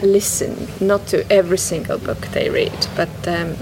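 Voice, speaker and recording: A woman whose first language is Estonian speaks thoughtfully as a guest, pausing often and restarting her sentences. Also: lowish voice, little pitch movement. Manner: thoughtful, hesitant, many restarts and "you know". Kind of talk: reflective conversation about a poem. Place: bookshop, indoors. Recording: noisy public bookshop.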